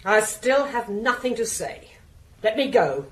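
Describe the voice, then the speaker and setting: Surly, defiant concierge, very animated pitch. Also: female voice, a little slow, rough recording. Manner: curt, defiant, stonewalling. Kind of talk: radio drama acting.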